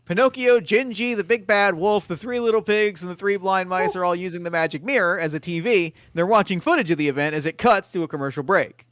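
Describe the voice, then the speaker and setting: Guy reading stage directions, quiet n even, dry close sound. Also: sits high, fairly quick, hardly any pauses, no room sound.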